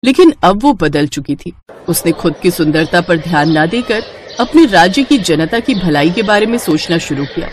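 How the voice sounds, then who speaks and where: A woman's voice: lowish voice, lively intonation, normal speed, slight background noise. A woman narrating a scripted moral story as a storyteller.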